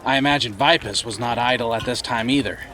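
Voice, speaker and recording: A man's voice: medium pitch, quick pace, music in the background, natural voice, rough recording. A man acting as an awed, eager listener to a storyteller in a fantasy audio drama.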